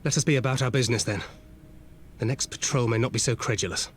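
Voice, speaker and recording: An actor playing a serious, brusque agent in a fantasy game, speaking in a curt, stern and formal way. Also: male voice, mid-range voice, fast talker, slight background noise, close to an everyday voice. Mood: terse, urgent.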